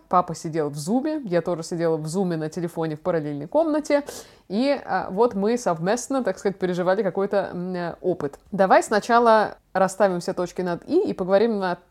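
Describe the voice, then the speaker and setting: A woman with an average-pitched voice hosts a podcast. She talks informally and chattily, laying out explanations in a conversational way. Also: a little mellow. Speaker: podcast host. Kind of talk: casual podcast chat with explanations.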